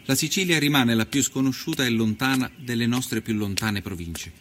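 A man performing an earnest nineteenth-century Italian journalist who recounts his travels in a measured, solemn, literary radio monologue. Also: medium pitch, background noise, natural voice. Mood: grave, observant. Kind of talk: scripted dramatic narration, radio storytelling.

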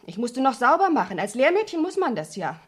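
A woman's voice: on the high side, big pitch swings, fairly quick, husky. An actress playing a naive, cheeky teenage shop girl in a German radio crime drama, talking in a girlish, forthright way. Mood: indignant, eager.

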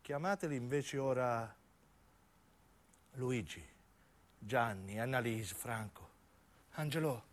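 A man's voice: medium pitch, slow, long pauses. A man with a southern Italian accent performing a theatrical radio monologue, dramatic and shifting from intimate narration to acted dialogue. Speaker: stage actor and narrator.

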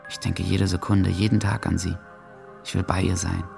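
Radio drama: troubled teenage diarist, deep and gravelly, introspective delivery. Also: male voice, little pitch movement, moderate pace, darkish tone, soft background music. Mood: yearning. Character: lovesick, suicidal teenage boy reading his diary. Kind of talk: radio drama monologue, intimate diary reading.

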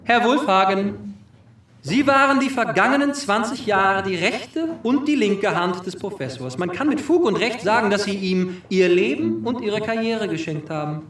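Pompous stage detective, high-pitched, ceremonious, wordy, accusing; heard from afar in an echoey hall. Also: male voice, a bit bright. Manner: pompous, long-winded, ceremonious. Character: pompous male detective unveiling a murderer to the suspects. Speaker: actor playing a detective. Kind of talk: theatrical comic monologue before an audience. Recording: echoey hall, distant microphone.

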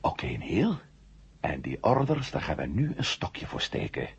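An actor in a 1970 science-fiction radio drama playing a steady, commanding space hero who firmly and deliberately reassures a comrade. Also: male voice, extremely low pitch, vocal fry, husky, muffled recording, full character voice. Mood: determined.

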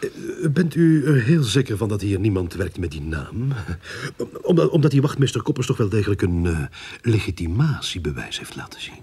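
Husky, sardonic police inspector. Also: male voice, fairly low pitch, big pitch swings, warm tone, full character voice. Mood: mock-innocent. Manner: mocking, drawn-out, with feigned hesitations. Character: sarcastic, menacing male police inspector toying with suspects. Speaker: actor in a radio drama. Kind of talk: Dutch-language radio play acting.

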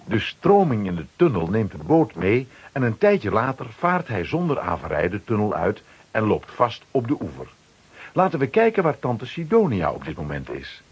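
A man narrating a scripted children's radio play as its storyteller, with a husky voice. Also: lowish voice, huge pitch swings, moderate pace, slight background noise.

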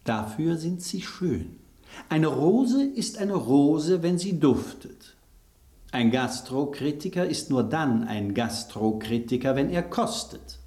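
An actor playing a smug, teasing gentleman in a radio play, speaking with condescending theatrical relish as he spins out suspense. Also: on the high side, expressive, slow pace, reverberant. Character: smug, teasing gentleman narrating a culinary revenge intrigue. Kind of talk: radio drama acting.

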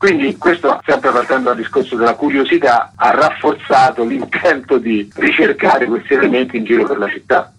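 A man's voice: mid-range voice, moderate pace, fairly bright tone, breathy edge. A man, an author being interviewed on radio, explaining things with enthusiasm and emphasis over a tinny link. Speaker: interviewed author and researcher of Rome's monuments.

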